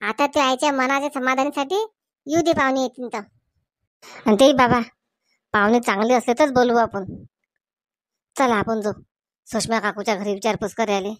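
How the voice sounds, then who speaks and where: A woman voicing a cautious village father in a comedy cartoon, measured and advising. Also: on the high side, fairly even intonation, no echo, pitched below the performer's own voice, close to an everyday voice.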